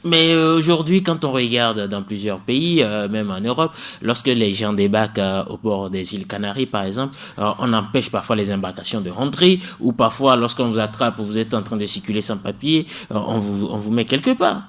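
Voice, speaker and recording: A man's voice: fairly low pitch, normal speed, few pauses, a bit bright. A man from Togo with a Togolese French accent, hosting a radio talk show and putting questions to a legal expert. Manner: probing, challenges the guest with everyday examples.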